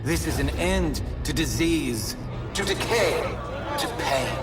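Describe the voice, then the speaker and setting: Slow, grand political orator. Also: male voice, a little high, lively intonation, far from the mic, music in the background. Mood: solemn, uplifting. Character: solemn, persuasive male political leader addressing citizens. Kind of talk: scripted public address.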